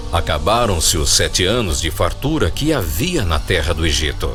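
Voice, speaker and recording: A man's voice: on the low side, a bit bright. A man narrating a dramatized audio Bible in a scripted storytelling style.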